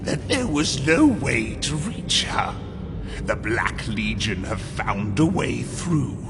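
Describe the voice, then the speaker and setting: Slow, gloating bird demon. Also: male voice, medium pitch, very animated, vocal fry, full character voice. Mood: gloating, taunting. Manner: taunting, theatrical, drawn-out delivery. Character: gigantic bird-like demon sorcerer, sly, cruel, gloating. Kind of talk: scripted audio drama acting. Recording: voice under loud dramatic music.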